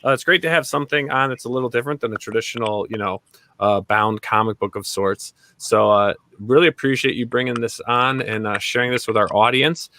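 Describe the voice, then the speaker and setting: A man's voice: mid-range voice, fairly quick, pushed and bright. A man hosting a casual livestream interview, informal and effusively appreciative in the way he talks. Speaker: livestream show host.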